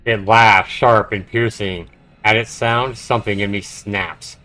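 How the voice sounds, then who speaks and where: A man's voice: lowish voice, fairly even intonation, a little slow, pushed and bright. A man livestreaming a horror visual novel, reading it aloud dramatically and chatting casually about it. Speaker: livestreamer playing and narrating a game.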